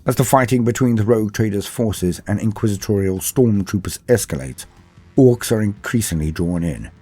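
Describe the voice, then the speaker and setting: A man reading a scripted lore history in a measured, steady storytelling delivery. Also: on the low side, slightly rough. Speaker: lore narrator. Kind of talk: scripted narration.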